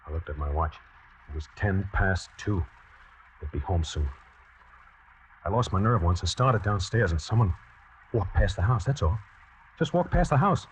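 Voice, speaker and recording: An American actor playing a lovesick, nervous, reluctant killer in a 1940s-style radio suspense drama, narrating in the first person and halting under pressure. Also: male voice, sits low, expressive. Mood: tense narration. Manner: halting, hesitant, stammering when pressed. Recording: muffled, noisy old radio recording.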